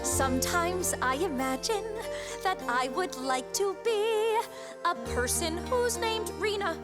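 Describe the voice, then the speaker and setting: Female children's singer, storytelling song, very high voice, unhurried phrasing, full music backing. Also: expressive. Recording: loud music under the voice.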